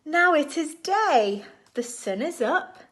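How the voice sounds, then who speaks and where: A woman reading a picture book aloud to young children in an expressive, deliberate storytime style. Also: high-pitched. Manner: expressive, animated, deliberate phrasing for small children.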